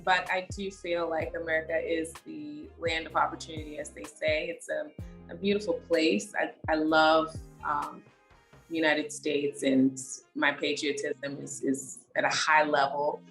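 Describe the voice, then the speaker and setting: A young woman from Haiti answering questions as an interview guest, her voice notably low for a woman. She speaks in a measured, reflective way, pausing on "um" as she gathers her thoughts. Faint music sits beneath her voice. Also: fairly bright tone. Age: young adult.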